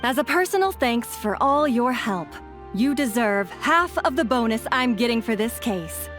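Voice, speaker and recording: An actress voicing a brisk, upbeat woman police officer in a game cutscene. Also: a little high, animated, a bit bright, soft background music. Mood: grateful.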